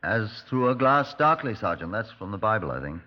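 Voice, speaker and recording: An actor playing a dry, wry British police superintendent from London in a 1950s radio drama. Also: male voice, fairly low pitch, sing-song, a little fast. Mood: inquisitive, dry. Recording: dull 1950s radio recording.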